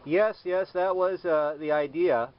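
A man's voice: a little high, unhurried. A man, a solo sailor, talking casually and politely on the radio from a small boat cabin in an easygoing way. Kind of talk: casual marine radio exchange. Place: small sailboat cabin at sea. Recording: dull lo-fi camera audio, faint noise.

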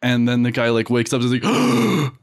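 A man of medium pitch on a comedy podcast. He tells sketch ideas in a casual, amused way, giggly in his delivery. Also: big pitch swings, normal speed.

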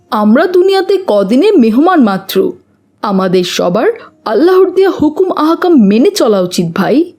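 A pious, earnest schoolboy in a children's cartoon. The voice is high and swings animatedly, with a preachy manner. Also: female voice, a little mellow, heavily acted. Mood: earnest admonishing. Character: pious, earnest schoolboy who admonishes his friend. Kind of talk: children's moral cartoon dubbing.

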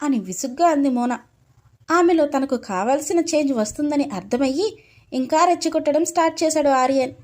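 A female narrator with a distinctly high-pitched voice. She reads a scripted dramatic story as its storyteller. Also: fairly quick, darkish tone.

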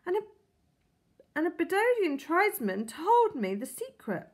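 A woman reading a storybook aloud, voicing a shy, polite older man who is quietly in love. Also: extremely high pitch, sing-song, a little slow, voice pushed up, exaggerated voice. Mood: eager. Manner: polite, solemn.

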